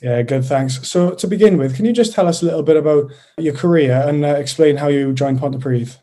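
A man's voice: fairly high pitch, a little fast, mellow. A man hosting a rugby interview show, asking plain, scripted-sounding questions. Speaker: host.

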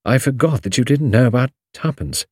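A man's voice: mid-range voice, fairly quick, darkish tone, husky, dry recording, studio quality, voice pushed up, lightly acted. A man voicing a modest, eager young Englishman in an audiobook, frank and respectful in his manner. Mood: earnest. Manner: deferential, frank. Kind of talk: audiobook character dialogue.

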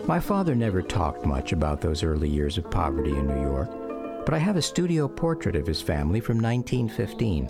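A man narrating a scripted radio drama about his family in a measured, literary storytelling manner. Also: sits low, sing-song, creaky. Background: loud music under the voice.

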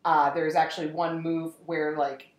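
A young woman with a slightly low voice tells a story in casual podcast chat. She slips into little voices for the messages she quotes. The room around her rings with a noticeable echo. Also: moderate pace. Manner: animated storyteller, acts out quoted texts. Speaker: podcast guest.

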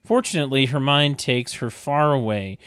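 A narrator reading stage directions in a deadpan, wry, mock-literary comic style. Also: mid-range voice, normal speed, clean tone, no echo. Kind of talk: deadpan comedic script narration.

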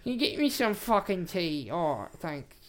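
A man's voice: high voice, big pitch swings, a little hiss or hum. A man voicing a cranky dead man's demon ghost, drawing out profane lines in a podcast bit. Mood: cranky, demanding. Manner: drawn-out, profane.

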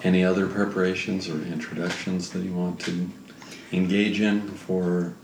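A man with a very deep voice runs a tabletop game, patiently laying out rules and describing characters. He sits in an echoey room with a faint hiss behind him. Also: level tone, normal speed, far from the mic. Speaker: game master. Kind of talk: running a tabletop game, casual.

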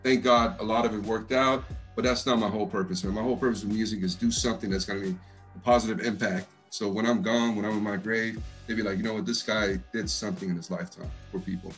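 Deep voiced texas guy, bit of east coast in his american accent, slangy laid back chat, faint music behind. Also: fairly even intonation, fast talker. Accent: American with East Coast touch. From Texas, United States. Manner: slangy, easygoing, jokey. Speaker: hip hop artist, interview guest. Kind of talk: casual chat.

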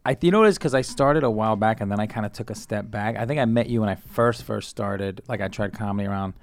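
Low gravelly comedian guest. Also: male voice, quick pace. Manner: relaxed, rambling with many likes and you-knows. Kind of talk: casual interview chat.

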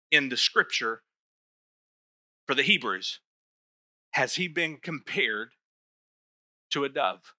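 A man's voice: on the high side, pushed and bright, no echo. A middle-aged man preaching a sermon to his church, talking in a loose, conversational way with self-deprecating asides. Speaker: pastor.

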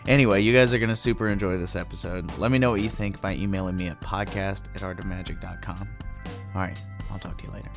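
A man in his twenties hosting a podcast in a casual, upbeat way, his voice hoarse and worn. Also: sits low, steady tone, fast, over music. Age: 20s. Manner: upbeat, laughs easily. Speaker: podcast host.

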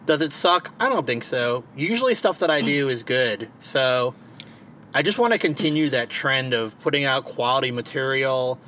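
A man's voice: medium pitch, lively intonation, normal speed, bright, forceful tone, a little hiss or hum. A man with a Baltimore accent hosting a casual podcast, joking and swearing freely. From Maryland. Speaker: podcast host. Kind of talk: casual chat. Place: parked car. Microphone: home podcast mic.

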